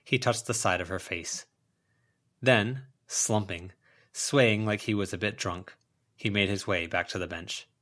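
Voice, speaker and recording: A man's voice: lowish voice, measured pace, fairly bright tone. A man reading fiction as an audiobook narrator, in a scripted storytelling style. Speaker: audiobook reader.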